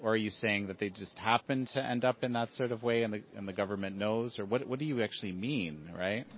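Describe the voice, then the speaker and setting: A man interviewing a guest, asking questions in a halting way as he searches for words. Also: fairly low pitch. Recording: dull, lo-fi sound.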